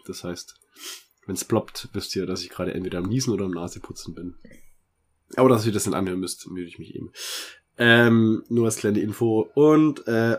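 A man's voice: low-pitched, breathy edge. A man co-hosting a podcast in a casual, chatty way while he has a cold and a runny nose. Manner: chatty, relaxed with fillers. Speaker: podcast co-host. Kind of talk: casual chat.

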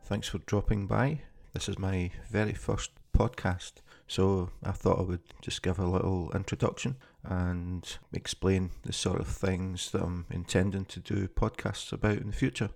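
Scottish guy chatting through his first podcast intro, low voice, bit of fry on it. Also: level tone, moderate pace, few pauses, darkish tone. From Scotland. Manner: plain, conversational, with frequent ums and small restarts. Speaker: podcast host. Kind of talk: casual spoken podcast introduction.

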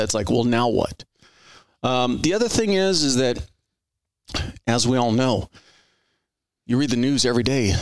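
Earnest middle-aged podcast host. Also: male voice, medium pitch, creaky, fairly bright tone, dry recording. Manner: earnest, rambling with restarts. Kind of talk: conversational interview.

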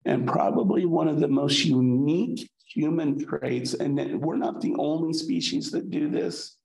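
Slow, gravelly man lecturing in an echoey room. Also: a little high, a little mellow. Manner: halting, many fillers and restarts. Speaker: college instructor. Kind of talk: recorded class lecture.

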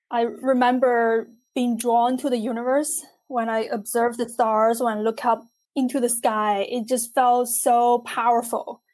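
A young adult woman from China, a physicist and artist, speaking in a measured, thoughtful way in a conversational interview. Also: on the high side, a little mellow.

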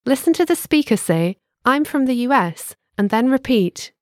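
Lively-voiced woman narrating a language lesson. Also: high-pitched, no room sound, clean studio sound. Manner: clear, measured, instructive. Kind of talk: scripted instructional narration.

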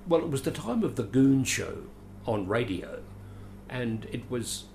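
Older australian man, gravelly voice, rambling chatty reminiscing, faint hiss behind. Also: medium pitch, sing-song, measured pace, a little mellow. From Adelaide, Australia. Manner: rambling, chatty, self-deprecating with dry asides. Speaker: veteran actor, interview guest. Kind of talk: reminiscing conversational interview.

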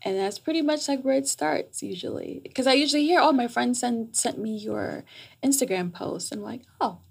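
A woman's voice: a little high, normal speed, gravelly, darkish tone, slightly rough. A woman who grew up in Jamaica, a psychotherapist explaining her work in a casual podcast interview. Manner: articulate, explanatory, laughs easily. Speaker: psychotherapist guest.

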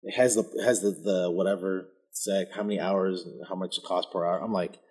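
A man's voice: sits low, fairly even intonation, a little fast, a little mellow, breathy edge. A man in casual chat who talks emphatically and repeats himself for effect.

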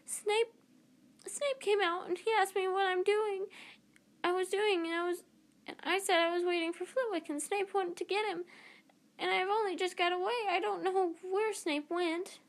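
An anxious, bossy teenage schoolgirl, voiced by a woman reading aloud with a fretful, high-pitched voice. It sits well above an everyday speaking pitch and comes across as storybook acting. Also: fairly even intonation, moderate pace. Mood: distressed. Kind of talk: reading a children's novel aloud.

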